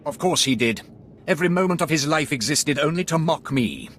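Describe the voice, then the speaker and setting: A man's voice: fairly high pitch, expressive, brisk, fairly bright tone, slight background noise. An actor playing a proud, cold Sith lord who scorns his dead son, speaking in a commanding, contemptuous game voice. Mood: scornful. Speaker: Sith lord guarding the council chamber. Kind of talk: video game voice acting.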